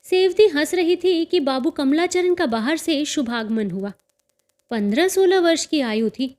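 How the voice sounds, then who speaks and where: High-voiced, unhurried woman storyteller. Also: animated, a little mellow. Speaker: storyteller, audiobook narrator. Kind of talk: scripted story narration.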